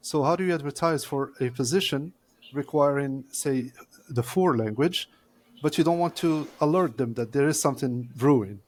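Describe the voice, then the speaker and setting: A man who works as a court interpreter, giving long explanatory answers as a guest. He speaks in a measured way with frequent pauses. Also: a little high, a little mellow.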